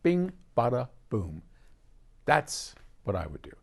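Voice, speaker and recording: Older american guy host, chatty and rambly, really lively up and down pitch, explains stuff thru little stories. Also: slow, creaky, breathy edge. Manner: animated, digressive storyteller who quotes conversations. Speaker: host answering audience questions. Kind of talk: casual, rambling explanatory talk.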